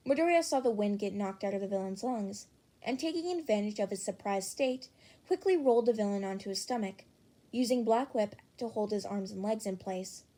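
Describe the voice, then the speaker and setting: A woman's voice: mid-range voice, dark tone. A woman reading fan fiction aloud as an amateur audiobook narrator.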